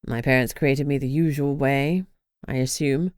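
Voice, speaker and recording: A woman voicing a posh young man who speaks calmly and without getting ruffled. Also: on the low side, brisk, clean tone, dry recording, studio quality, close to an everyday voice. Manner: posh, calm and unruffled.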